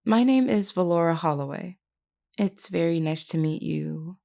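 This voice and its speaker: A woman playing a gentle, courteous vampire queen in an intimate audio roleplay, speaking politely and reassuringly. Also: fairly low pitch, moderate pace, vocal fry. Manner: polite, soft-spoken reassurance with hesitant asides.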